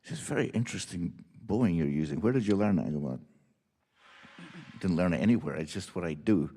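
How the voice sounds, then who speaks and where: A man on a music panel answering questions and telling lively stories about his teaching and playing. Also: sits low, brisk, husky, long reverb tail. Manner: enthusiastic storyteller. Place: symposium hall.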